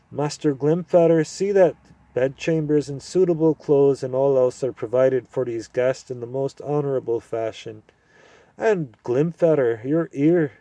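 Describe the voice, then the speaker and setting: Guy doing a deaf grumpy old dwarf, loud and impatient, higher than his normal voice, storybook vibe. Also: no echo. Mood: welcoming. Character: deaf irritable old male dwarf regent. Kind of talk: storybook audiobook reading.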